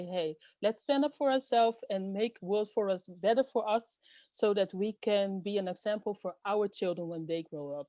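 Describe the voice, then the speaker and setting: A middle-aged woman speaks earnestly in an interview, often pausing with fillers as she gathers her thoughts. Her voice sits at an ordinary pitch and sounds dry and close, with no room around it. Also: warm tone, dry recording. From Netherlands. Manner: earnest, hesitant with many fillers. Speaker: guest, storyteller and event host. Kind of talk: interview answers.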